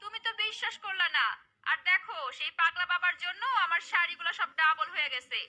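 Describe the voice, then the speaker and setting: A woman's voice: very high voice, fast talker, bright, forceful tone, exaggerated voice. A woman voicing a gullible, greedy wife and mother in a cartoon, pushy and dramatic. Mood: excited, greedy. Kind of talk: cartoon character dialogue.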